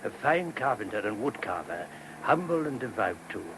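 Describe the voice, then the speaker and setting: Un monaco esitante, vecchia radio rumorosa. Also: male voice, mid-range voice, very animated, creaky. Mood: troubled, earnest. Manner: hesitant, apologetic, halting. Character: anxious, courteous monk guiding a police inspector. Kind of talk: radio play acting. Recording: noisy old radio recording.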